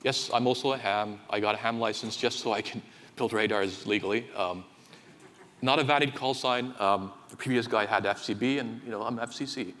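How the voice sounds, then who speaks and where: A man from Finland giving a technical conference talk in a large hall, speaking haltingly with many fillers and repeated words. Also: lowish voice, a bit bright. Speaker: conference speaker, associate professor. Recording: reverberant hall, faint ambience.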